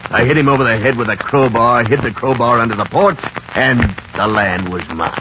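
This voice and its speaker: An actor playing a smug crooked land-grabber in a 1950s radio western, with folksy, slangy tough talk. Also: male voice, medium pitch, a little fast, close to an everyday voice. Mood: gloating. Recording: muffled, noisy, crackly 1950s radio recording.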